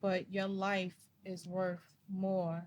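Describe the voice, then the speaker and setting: Slow, calm woman giving advice. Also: lowish voice, slight background noise. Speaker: podcast co-host. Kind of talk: supportive podcast discussion.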